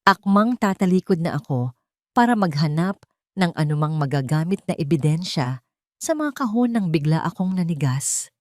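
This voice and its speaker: A woman with a Filipino accent narrating a scripted first-person story in a measured, dramatic manner. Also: deep voice, animated, a little mellow, no echo, studio quality.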